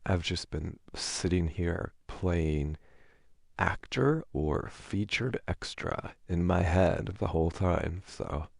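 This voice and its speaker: Deep, slow-talking man with a gravelly edge. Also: no echo, clean studio sound. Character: awkward, amiable boyfriend on a movie night. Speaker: boyfriend roleplay voice performer. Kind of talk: scripted ASMR roleplay, casual conversation.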